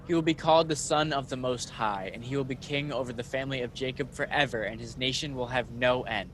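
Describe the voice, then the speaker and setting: A man playing a calm, authoritative messenger angel in a radio play, speaking in a solemn, measured way. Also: medium pitch, slight background noise, close to an everyday voice, rough recording. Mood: solemn, proclaiming.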